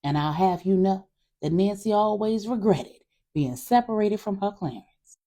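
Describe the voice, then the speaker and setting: Woman voicing a frank, folksy older aunt, husky. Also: medium pitch, warm tone. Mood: wistful, earnest. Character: older Southern family aunt, frank, gossipy, sympathetic.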